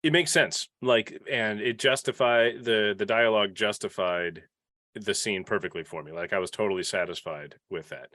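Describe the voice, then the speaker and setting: A man hosting a casual podcast discussion, enthusiastic and quick to interject. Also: on the low side, sharp tone, no echo, studio quality. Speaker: podcast panel host.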